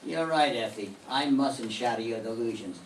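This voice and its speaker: A wry, gruffly affectionate private detective from a vintage radio play, played by an older man. The voice sits mid-range with a dry delivery. It rings in a roomy space with faint noise. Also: mid-range voice, animated, moderate pace, distant mic, close to an everyday voice. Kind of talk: 1940s radio detective acting.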